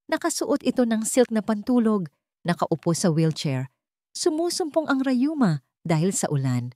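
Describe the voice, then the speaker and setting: A woman narrates a dramatic romance story in a fairly low voice. The recording is dry and close, with little room around her scripted storytelling. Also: mellow, dry recording.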